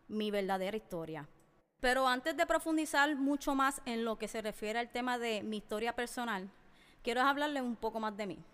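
A woman's voice: a little high, a bit bright, clean tone. A woman in her thirties with a Puerto Rican accent, hosting a personal podcast monologue and addressing listeners directly and emphatically. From Puerto Rico. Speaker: podcast host. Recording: long reverberant hall echo.

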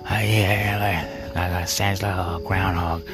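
A very low-voiced man wanders through a loose personal monologue, stalling on fillers and restarting his sentences. Music runs clearly underneath him the whole time, and he laughs at his own lines. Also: level tone, measured pace. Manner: meandering, heavy fillers and restarts, laughs at himself. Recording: music playing behind.

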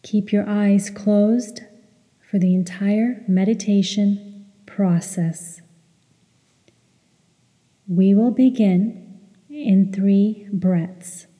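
Very mellow woman guiding meditation, heavy reverb. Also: medium pitch. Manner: measured, soothing, instructional. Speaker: guided meditation leader. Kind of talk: guided meditation narration with recitation. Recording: long hall-like reverb.

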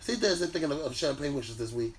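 A man's voice: fairly high pitch, animated, brisk. An American man co-hosting a podcast with playful, slangy banter. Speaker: podcast co-host. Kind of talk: casual banter. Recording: slightly distant mic, faint noise.

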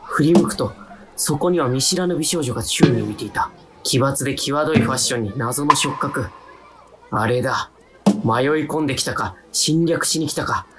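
A man's voice: medium pitch, normal speed, over loud music, close to an everyday voice, rough recording. An actor playing a timid young man who narrates his own radio drama with wry, self-deprecating asides. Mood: flustered. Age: young adult. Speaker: narrator-protagonist. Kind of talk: radio drama inner monologue.

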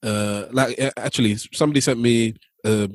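A man co-hosting a podcast, asking questions in a halting way full of fillers and restarts. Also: medium pitch, fairly even intonation, no echo, studio quality. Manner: hesitant, many fillers and restarts. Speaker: podcast co-host. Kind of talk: casual podcast conversation.

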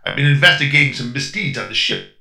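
A man's voice: mid-range voice, animated, fast talker, sharp tone, reverberant, soft background music. A man voicing a robot posing as a streetwise undercover detective with a blunt, commanding manner. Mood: tough.

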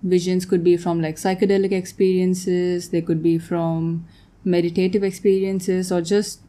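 Young woman from Tanzania, warm low tone, relaxed guest who laughs often and trails off. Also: normal speed. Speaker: guest artist. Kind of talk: casual interview chat.

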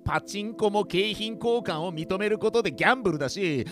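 An actor playing a sleazy, flippant senior police detective with casual, comically pompous speech in a radio drama. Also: male voice, high voice, animated, brisk, soft background music.

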